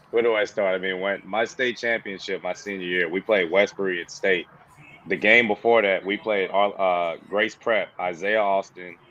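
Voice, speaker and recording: A man in his thirties from the Bahamas, a former player turned coach, telling stories in a relaxed, slangy way with a toned-down Bahamian accent. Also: deep voice, muffled recording. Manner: casual, slangy, says "bro" and "you know". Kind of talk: relaxed interview storytelling.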